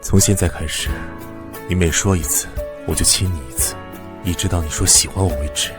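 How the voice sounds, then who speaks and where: An actor playing a cold, possessive young crime heir in an animated drama, speaking with controlled, cool insistence. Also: male voice, sits low, brisk, music in the background, lightly acted. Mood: possessive, insistent.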